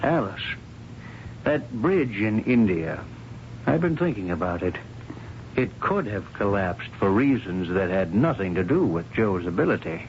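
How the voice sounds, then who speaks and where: Genial boss, lowish lively voice. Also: male voice, unhurried. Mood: affable. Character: genial, proud businessman boss, father of a graduate. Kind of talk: 1970s radio drama acting. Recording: muffled, slightly noisy 1970s radio broadcast.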